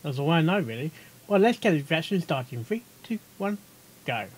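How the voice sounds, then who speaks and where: A man's voice: a little high, very animated, moderate pace. A man hosting a reaction video, commenting casually with rambling, chuckling, muttered interjections. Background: music.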